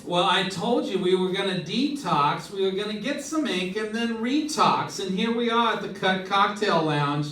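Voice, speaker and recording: A man's voice: high voice, lively intonation, moderate pace, hardly any pauses, bright, forceful tone. A man playing a chummy, gossipy drinking companion in a live comedic radio interlude. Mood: chummy, playful. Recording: echoey hall, distant mic, background noise.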